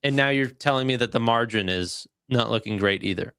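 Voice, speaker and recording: Dry-sounding man in casual, halting commentary. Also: medium pitch, creaky, a bit bright, clear, resonant tone, dry recording. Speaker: live stream co-host.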